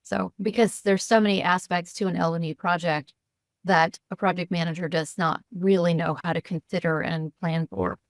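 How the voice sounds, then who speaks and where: A woman hosting a podcast interview, asking questions in a measured, supportive way. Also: fairly low pitch, fairly even intonation, dry recording, clean studio sound.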